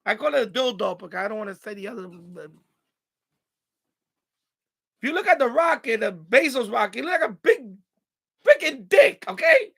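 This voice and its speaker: A man's voice: very high-pitched, big pitch swings, brisk, creaky, sharp tone, slightly rough. A man hosting a live stream in casual, rambling, unfiltered chat with his viewers. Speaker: livestream host.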